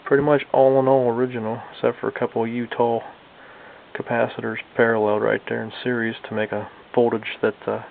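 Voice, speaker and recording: Gravelly man on a thin, lo-fi recording. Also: mid-range voice, level tone, fast talker. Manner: folksy, informal, thinking aloud. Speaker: hobbyist radio restorer and video host. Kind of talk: casual hands-on demonstration for viewers. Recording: lo-fi, phone-like band, slight background noise.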